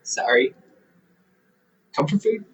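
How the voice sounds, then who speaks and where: A man's voice: slow pace, a bit bright, recorded from a distance, muffled recording, a little hiss or hum. A man voicing a caring, goofy older brother in an audio roleplay, playful and chatty, laughing often.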